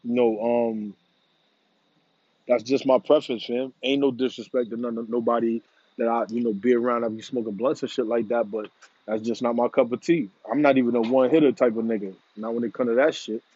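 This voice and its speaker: A man's voice: mid-range voice, fairly even intonation, a little fast, dark tone, husky, no echo, highs cut off. A man in a casual, rambling monologue, laid-back and profane, full of slang. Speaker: vlogger. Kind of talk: casual monologue about smoking.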